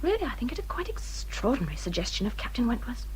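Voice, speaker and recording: An English actress playing a self-important young wife in a period radio drama, with a whining, indignant manner. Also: female voice, a little high, sing-song, quick pace, darkish tone, slight background noise, poor audio. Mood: affronted.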